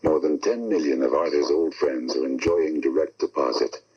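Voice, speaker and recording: A male announcer giving a steady, authoritative public service read on a lo-fi 1970s radio broadcast. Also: a bass voice, normal speed, breathy edge.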